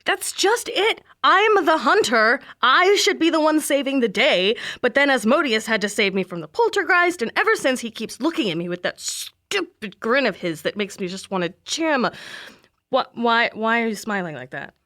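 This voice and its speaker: A woman's voice: on the high side, very animated, normal speed, bright, forceful tone. A woman playing a proud, exasperated teenage girl monster hunter in an audio drama. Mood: indignant. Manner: exasperated, sarcastic.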